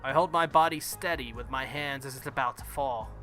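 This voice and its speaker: A man's voice: medium pitch, fairly quick, pushed and bright, soft background music. A man hosting a game playthrough, reading a visual novel aloud expressively and adding jokey asides. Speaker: gaming video host.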